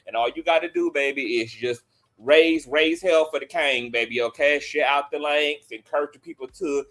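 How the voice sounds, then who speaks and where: A man's voice: a little high, normal speed, a bit bright. A man hosting a celebrity gossip live stream in a campy, sassy manner, sounding nasal and sniffly from allergies, speaking. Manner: campy, sassy, calls viewers girl and child. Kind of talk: casual live stream chat.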